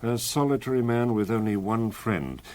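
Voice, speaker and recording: Man playing british police colonel in a radio play, formal and in charge, animated intonation. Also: lowish voice, normal speed, close to an everyday voice. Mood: grave, explanatory. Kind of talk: radio drama acting.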